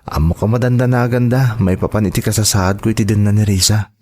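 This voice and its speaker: A man's voice: fairly low pitch, fairly even intonation, quick pace, mellow, close to an everyday voice. A man with an Ilocano accent acting a trusting, devoted young son and partner in an audio drama, speaking earnestly and reassuringly. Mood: hopeful, reflective.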